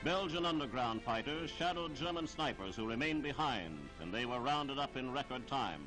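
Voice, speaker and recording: A man's voice: medium pitch, animated, rough recording. 1940s newsreel man over music. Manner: formal, declamatory, measured delivery. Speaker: newsreel narrator. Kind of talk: 1940s newsreel scripted narration.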